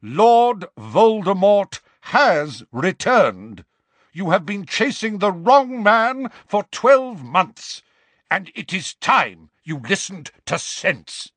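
A man voicing an old, calm, commanding male wizard who speaks slowly and gravely. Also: mid-range voice, dry recording. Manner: slow, grave, measured.

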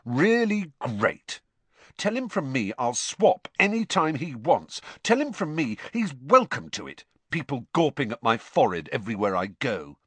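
A sullen teenage boy who speaks flatly and grudgingly, in a male audiobook reader's own low voice. The voice stays near the reader's natural pitch and sits dry and close to the mic. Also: normal speed, breathy edge, dry recording. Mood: bitter. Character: sulky teenage boy, bitter and stubborn.

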